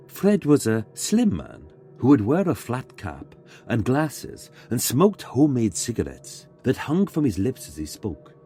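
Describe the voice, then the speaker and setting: A man reading a scripted ghost story in a measured, dramatic way, pausing for suspense. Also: lowish voice, dark tone. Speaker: guest narrator reading a submitted ghost story.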